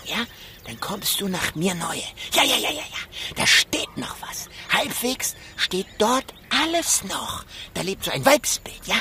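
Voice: mid-range voice, pushed and bright, slight background noise. An actor playing an odd, teasing wasteland scavenger in a radio drama, with rambling, stuttering, cackling speech.

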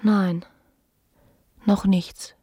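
An actress playing a secretive, despairing married woman in weary suffering, breathy and often whispering, in a radio play. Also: medium pitch, level tone. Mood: weary resignation. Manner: halting, hushed. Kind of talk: intimate radio play acting.

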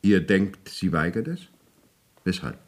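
A man's voice: low voice, sing-song, a little slow. A man playing a stern, formal court official in a radio play, probing and controlled, speaking with authority. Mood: probing, measured. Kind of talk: radio drama acting.

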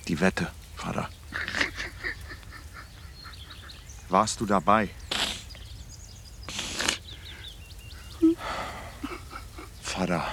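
An actor playing a sober, probing grown son who speaks and narrates matter-of-factly in a radio play. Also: male voice, low-pitched, normal speed, noisy background, lightly acted. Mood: accusing, pressing. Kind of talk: radio play dialogue and first-person narration.

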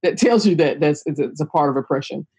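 A woman's voice: low voice, quick pace, dark tone, breathy edge. A woman hosting a podcast, talking candidly and emphatically, driving her points home by repeating them. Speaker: podcast host. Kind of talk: candid conversational podcast talk.

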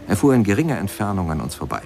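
An actor playing a reflective former steamboat pianist who tells his memories in measured, lyrical radio drama narration. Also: fairly low pitch, sing-song, creaky, darkish tone, faint music behind. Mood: wistful storytelling. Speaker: narrator and protagonist of a radio play.

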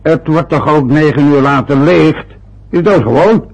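An actor playing an irritable sixty-year-old husband in a radio play, answering curtly. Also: male voice, on the high side, unhurried, a little mellow, slightly rough. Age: 60s. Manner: curt, irritable. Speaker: father. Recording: dull lo-fi old radio recording.

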